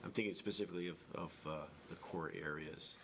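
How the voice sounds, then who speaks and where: A man's voice: deep voice, fairly even intonation, fast, gravelly, background noise. A man, a school administrator, answering board members' questions at a public meeting, hesitating and restarting often. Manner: hesitant, many restarts and fillers.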